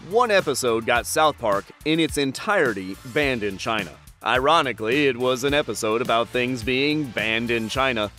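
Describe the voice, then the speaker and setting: A man's voice: medium pitch, animated, moderate pace, few pauses, sharp tone, faint music behind. A man reading a scripted countdown list with wry, dry asides. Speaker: narrator.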